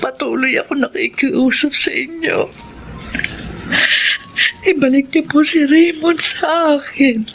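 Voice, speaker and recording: An actress playing an emotional, devout young woman in love in a melodramatic radio drama, with tearful, drawn-out delivery. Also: sits high, fairly even intonation, creaky, soft background music, heavily acted. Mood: anguished pleading.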